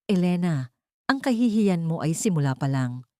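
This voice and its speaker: A bitter, vengeful wronged wife in an audio drama, played by a woman. She accuses with resolve, her voice lowish and dry. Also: big pitch swings, moderate pace, warm tone, no room sound. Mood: bitter, accusing.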